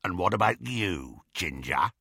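A savage werewolf thug from a storybook. The voice is a low, rasping growl that drags slowly over words, gloating and menacing. It sounds close and dry. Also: male voice, lively intonation, pushed and bright, dry recording, full character voice. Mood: gruff bullying. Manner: slow, relishing, menacing.

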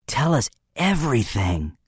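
A man voicing a timid younger schoolboy with a husky, conspiratorial voice. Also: medium pitch, very animated, unhurried, no echo, clean studio sound, pitched above the performer's own voice. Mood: eager. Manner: hushed, conspiratorial.

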